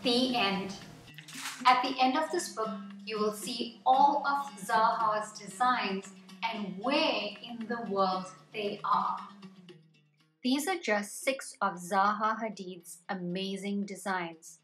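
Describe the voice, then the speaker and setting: A woman reading a children's picture book aloud as scripted narration, with measured, deliberate phrasing. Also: medium pitch, fairly bright tone. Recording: roomy echo, distant mic, background music. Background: music.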